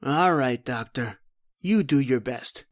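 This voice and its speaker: An actor playing a surly, defiant thief in a radio horror drama, blunt, sarcastic and impatient. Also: male voice, medium pitch, animated, takes it slowly, no room sound, studio quality. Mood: bitter. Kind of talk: old-time radio horror acting.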